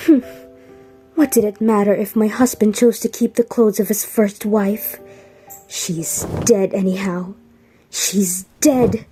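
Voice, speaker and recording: A woman's voice: mid-range voice, steady tone, slow, dark tone, soft background music, lightly acted. A woman playing a troubled, jealous young farmer's wife in an amateur radio drama, in a restrained manner. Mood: brooding.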